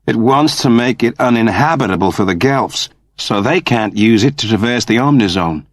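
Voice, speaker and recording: A man's voice: medium pitch, animated, normal speed, no echo, studio quality, lightly acted. A man voicing a solemn, intense male rebel leader with formal, declamatory speech in an audiobook. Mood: grave, indignant.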